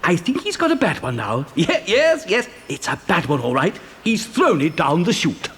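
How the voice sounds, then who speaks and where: Eccentric chocolate maker, high-pitched, extremely animated, flustered, gleeful, mischievous, children's audio drama, faint hiss. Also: male voice, moderate pace. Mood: excited guiding. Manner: flustered, hesitant, chuckling, mischievous showman. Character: eccentric, gleeful male chocolate factory owner.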